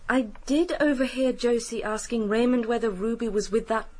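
An actress playing a composed, genteel, guarded British widow in a radio drama. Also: female voice, on the high side, moderate pace, darkish tone, close to an everyday voice. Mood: guarded, measured.

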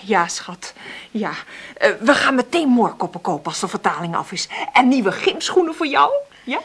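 A woman's voice: on the high side, very animated, normal speed, fairly bright tone, slightly rough, full character voice. An actress playing a flustered, eager single mother who is hard up, scatterbrained and easily agitated, in a naturalistic radio play. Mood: excited, busy. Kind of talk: naturalistic radio drama acting.

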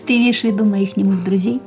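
A woman's voice: mid-range voice, warm tone, lightly acted. An actress playing a dreamy, independent woman in love in a radio drama, with a teasing, wistful way of talking. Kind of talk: radio play acting.

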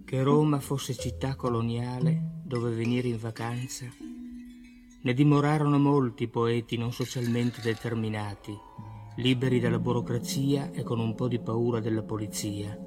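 A man giving a solemn poetry recitation, declaimed in measured short phrases. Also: medium pitch, steady tone. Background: music.

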